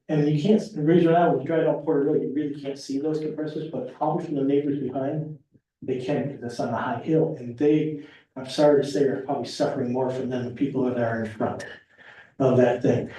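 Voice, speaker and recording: A soft-spoken man, rambling and restarting as he addresses a town meeting as the applicant. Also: medium pitch. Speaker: property applicant. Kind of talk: public meeting remarks. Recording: echoey room from far off.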